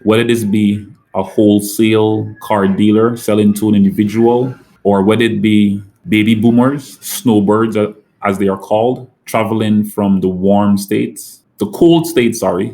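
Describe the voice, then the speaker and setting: A middle-aged man from Guyana, a company president, answering interview questions in a measured way and explaining with examples. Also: lowish voice, fairly even intonation, warm tone.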